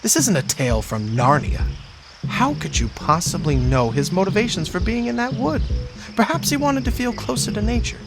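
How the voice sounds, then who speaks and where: Smug psychopath with swooping delivery. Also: male voice, sits high, big pitch swings, normal speed, vocal fry, music in the background, exaggerated voice. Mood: mocking. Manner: theatrical, condescending, taunting. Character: smug, mocking male psychopath toying with a detective. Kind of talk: video game cutscene dialogue.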